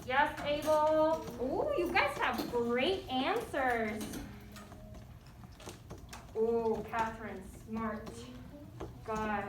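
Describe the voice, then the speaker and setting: A woman's voice: on the high side, animated, drawn-out words, long pauses. A woman teaching an interactive read-aloud lesson to children, encouraging them and prompting with questions. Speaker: elementary school teacher. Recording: echoey, noisy, distant mic.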